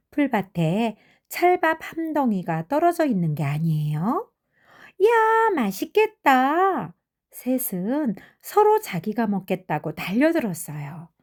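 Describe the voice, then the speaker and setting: An older woman tells fairy tales to children. Her voice is high and moves up and down expressively, at a slow, gentle storyteller's pace.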